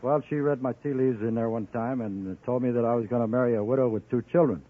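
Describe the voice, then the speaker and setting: A man's voice: mid-range voice, quick pace. An American man from Philadelphia answering as a quiz show contestant, telling stories plainly and politely. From Philadelphia, Pennsylvania. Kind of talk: conversational storytelling in an interview. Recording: old radio broadcast, tinny and noisy.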